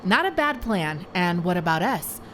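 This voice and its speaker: A woman's voice: lowish voice, big pitch swings, moderate pace, a bit bright, a little hiss or hum. A woman playing a blunt, sceptical crew member in a sci-fi audio drama. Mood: sceptical, probing.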